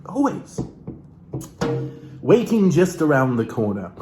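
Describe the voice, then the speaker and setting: A man from Alaska giving a comedic, theatrical book reading as host, florid and mock-genteel, with dramatic pauses and asides to his viewers. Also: a little high, big pitch swings, music in the background. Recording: roomy, echoey room sound.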